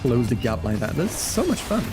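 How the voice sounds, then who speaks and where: A man's voice: medium pitch, very animated, moderate pace, over music. A man chatting casually and playfully with viewers as he plays and comments on a game on a live stream.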